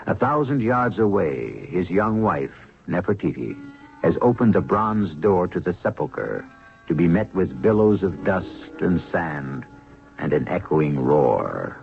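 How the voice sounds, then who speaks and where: Casting: male radio drama host, low gravelly voice, unhurried dramatic narration, vintage narrow-band sound, faint music behind. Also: big pitch swings.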